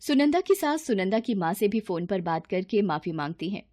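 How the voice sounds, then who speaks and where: A woman's voice: medium pitch, very animated, normal speed, mellow. A woman storyteller giving scripted narration of a moral bedtime tale.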